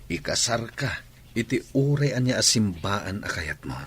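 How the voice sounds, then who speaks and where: A man's voice: fairly low pitch, lively intonation, measured pace, fairly bright tone, a little hiss or hum. A man playing an ardent, persistent suitor in a radio comedy drama. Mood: pleading. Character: ardent, persistent male suitor proposing marriage.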